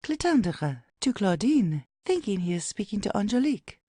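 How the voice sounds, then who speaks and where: A woman's voice: medium pitch, big pitch swings, normal speed, warm tone, breathy edge, dry recording, studio quality. A woman narrating an audio play, reading out headings and stage directions as scripted narration.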